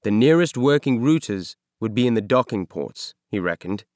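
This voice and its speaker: A man reading a science fiction novel aloud as scripted narration, in measured, expressive storytelling. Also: mid-range voice, no echo, studio quality. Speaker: audiobook narrator.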